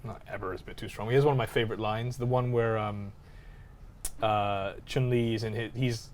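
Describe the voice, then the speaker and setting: A man co-hosting a podcast, discussing films casually and telling anecdotes in an informal, animated way. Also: on the low side, brisk. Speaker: podcast co-host.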